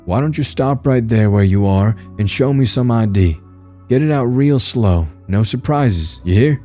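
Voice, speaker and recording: A man's voice: mid-range voice, lively intonation, unhurried, dark tone, soft background music, pitched above the performer's own voice, natural voice. A man voicing an aggressive, suspicious male police officer who barks threats. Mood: threatening. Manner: barking, threatening.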